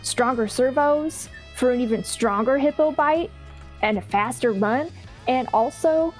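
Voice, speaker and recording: A woman's voice: sits high, a little slow, faint music behind. A woman playing a tabletop game, eagerly rambling through playful gear ideas. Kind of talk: in-character casual banter.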